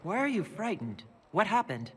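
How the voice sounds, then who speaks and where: A woman's voice: mid-range voice, very animated, moderate pace, a little hiss or hum, close to an everyday voice. An actress playing a guarded female dwarf hero in a video game, wary, understated and questioning. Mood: puzzled, wary. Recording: echoey hall with music behind.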